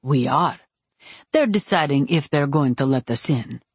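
A woman doing a wry, low, husky male priest. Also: animated, measured pace, no echo, full character voice. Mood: dry, uneasy. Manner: soothing, reasonable, dryly humorous. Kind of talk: audiobook character acting.

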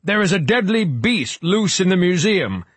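A man's voice: mid-range voice, sing-song, normal speed, a bit bright, slightly rough, dry recording, voice pushed up. A man voicing a desperate, commanding older male scientist in an audiobook, emphatic and imploring. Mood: shouting. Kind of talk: audiobook character acting.

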